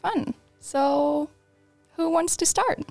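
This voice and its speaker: A young woman in her twenties hosting a student podcast, upbeat and quick to laugh. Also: very high voice, vocal fry, a little mellow, dry recording, faint music behind. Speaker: podcast host. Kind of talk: friendly podcast interview.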